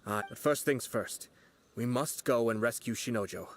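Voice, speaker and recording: An actor playing a composed young retainer and strategist in a video game, speaking in a measured, earnest and reasoned way. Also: male voice, medium pitch, a little hiss or hum, natural voice. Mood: calm.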